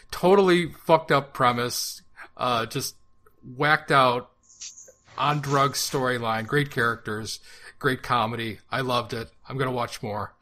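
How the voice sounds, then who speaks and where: A man from Canada co-hosting a podcast, joking and wry as he tosses out quick offhand opinions. His voice is bright and forward, at a middle pitch. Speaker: podcast co-host. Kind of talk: casual podcast chat.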